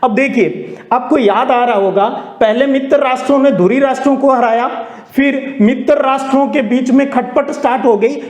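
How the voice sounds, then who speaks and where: A man's voice: very high-pitched, moderate pace, a little mellow. A man teaching like a storyteller, conversational and explanatory, asking questions and answering them himself. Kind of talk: storytelling classroom lecture. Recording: echoey room.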